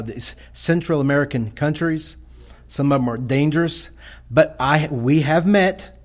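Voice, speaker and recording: A man with a Texas drawl talks to a church crowd about his mission work. He speaks very slowly, restarting his sentences often. The tone stays folksy and plain. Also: medium pitch. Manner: slow, folksy, halting with many restarts.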